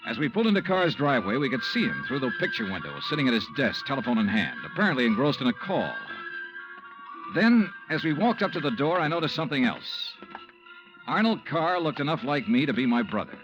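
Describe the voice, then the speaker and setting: An actor playing a cool, probing insurance investigator, dry and matter-of-fact, in 1950s radio noir style. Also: male voice, medium pitch, big pitch swings, brisk, music in the background, natural voice, low-quality recording. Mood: measured, brooding. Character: cool, probing male insurance investigator narrating his case.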